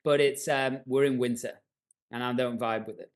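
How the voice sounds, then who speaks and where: A man's voice: medium pitch, fairly even intonation, normal speed, smooth. A man hosting a podcast interview, enthusiastic and jokey, often repeating words as he gets going. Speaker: podcast host. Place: remote, in his own home setting.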